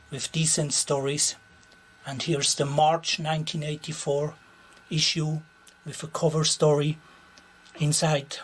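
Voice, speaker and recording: A Swiss man with a Swiss German accent, a native Swiss German speaker, showing his retro computer collection in a slow, deliberate, pausing way. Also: fairly high pitch, a little hiss or hum. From Switzerland. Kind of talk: show-and-tell narration.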